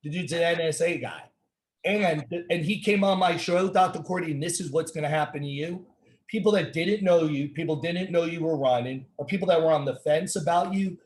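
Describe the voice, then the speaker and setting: A man's voice: on the high side, darkish tone. A man with an American accent hosting a casual conversational interview, emphatic and given to repetition and rhetorical questions. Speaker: talk show host.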